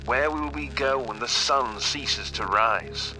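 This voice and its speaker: An actor playing an obsessive, brooding eccentric billionaire, delivering a grave, literary monologue in an audio drama. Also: male voice, medium pitch, slow pace, over music, close to an everyday voice, rough recording. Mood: anguished, questioning.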